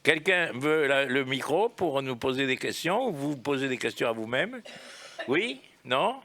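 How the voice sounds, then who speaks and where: A man's voice: mid-range voice, animated, unhurried, fairly bright tone. A man on a festival panel joking and coaxing the audience to ask questions. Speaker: round-table panelist. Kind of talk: round-table chat with audience.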